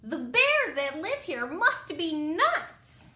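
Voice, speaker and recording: A woman's voice: extremely high pitch, sing-song, takes it slowly, sharp tone, pitched above the performer's own voice, exaggerated voice. A woman voicing a headstrong, brash little girl in a storybook, with an emphatic, exclaiming delivery. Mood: exasperated. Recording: roomy echo.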